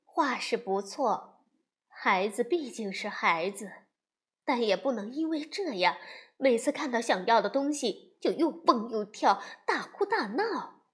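Brief: woman narrator doing a level-headed mama bear, unhurried and steady. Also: a little high. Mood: calm. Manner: measured, firm. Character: calm sensible mother bear.

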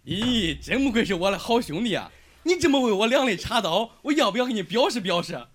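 A man acting a lovestruck, sentimental young male student in a Chinese audio drama, with a regional dialect accent and an animated, emotional delivery. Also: high-pitched, fairly quick. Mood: playful.

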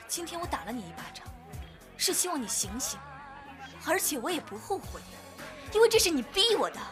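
A woman's voice: high-pitched, a bit bright. A woman playing an ordinary, modest young lover, earnest and stubbornly devoted, in a modern Chinese audio drama over music. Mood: earnest, wounded. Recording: music under the voice.

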